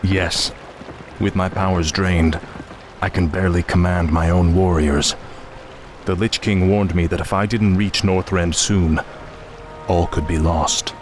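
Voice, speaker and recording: Deep-voiced, grim dark king. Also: steady tone, measured pace, pauses often, noisy background. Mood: weary. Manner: terse, stern. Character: grim, weakened dark king, commanding and determined.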